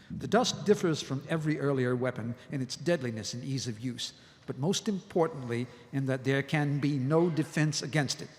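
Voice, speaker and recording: A man playing a grave, forceful army colonel in a live audio drama, arguing in deliberate military speech. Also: a little high, natural voice. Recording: live stage recording in echoey hall.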